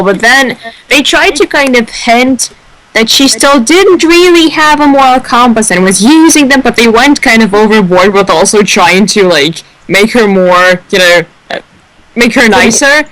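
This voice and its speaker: A woman's voice: on the high side, moderate pace, fairly bright tone, dry recording, rough recording. A woman speaking informally as a panelist in a casual online discussion, explaining her opinions over an internet call. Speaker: panelist on online radio show.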